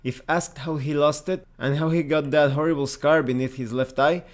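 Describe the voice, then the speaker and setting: A man's voice: fairly high pitch, steady tone, normal speed. Methodical male game reviewer. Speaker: reviewer, host of a tabletop game review channel. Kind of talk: semi-scripted review narration, explanatory.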